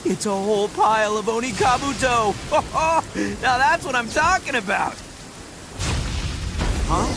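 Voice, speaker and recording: A young man voicing a brash, goofy oni who brags loudly in a folksy way and laughs heartily. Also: high voice, very noisy, full character voice. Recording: game soundtrack with music behind. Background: music.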